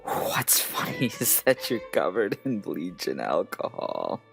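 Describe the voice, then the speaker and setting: Guy doing a menacing bully in a horror drama, gravelly half whisper, drags words out, music under it. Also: low voice, wildly swooping pitch, takes it slowly, exaggerated voice. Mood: gloating menace. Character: sinister teenage male bully, wounded and gloating. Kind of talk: horror audio drama acting.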